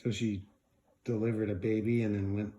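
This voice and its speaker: Low, mellow man in echoey room. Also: level tone, normal speed. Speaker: son interviewing his mother. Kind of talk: casual chat, brief questions and acknowledgements.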